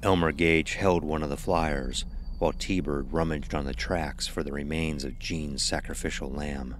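A man's voice: a bass voice, fairly even intonation, moderate pace. A man narrating a scripted horror story in a literary, dramatic style. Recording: noisy ambient sound bed.

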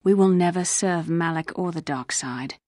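A woman's voice: fairly low pitch, moderate pace, no echo, clean studio sound, lightly acted. An actress playing a proud, defiant young female warrior held captive in a sci-fi game, speaking with firm resolve. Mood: defiant, stern. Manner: forceful, resolute. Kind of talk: dramatic video game acting.

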